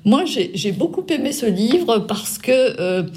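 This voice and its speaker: A woman's voice: a little high, very animated, a little slow, darkish tone. An older woman interviewing an author about her novel, speaking hesitantly with many fillers and restarts. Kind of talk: radio book interview.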